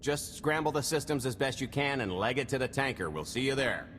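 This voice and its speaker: A man's voice: medium pitch, expressive, brisk, a bit bright, slight background noise, lightly acted. A man playing a cocky male smuggler with wry, sardonic banter in a video game cutscene. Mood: brisk.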